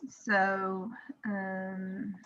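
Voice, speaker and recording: A woman's voice: mid-range voice, completely flat delivery, very slow, fairly bright tone, dull audio, a little hiss or hum. A woman in her forties with a British accent, giving a chatty informal talk to an online networking group, full of fillers and restarts. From Israel. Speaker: company co-founder presenting to a networking group. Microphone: video call.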